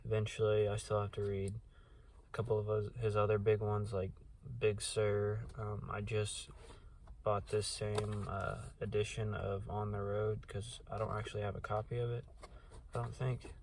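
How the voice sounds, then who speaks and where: A man's voice: lowish voice, monotone, normal speed, darkish tone. A young man giving a casual, rambling book review, full of fillers like "um" and "uh" and restarted sentences. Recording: distant mic with faint background noise.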